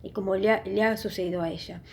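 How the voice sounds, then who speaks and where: A woman's voice: mid-range voice, steady tone, fast talker, vocal fry, a little hiss or hum. A woman hosting her own online channel, talking earnestly and reflectively to her viewers about faith and spiritual experiences. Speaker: YouTube channel host. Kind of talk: casual religious testimony talk.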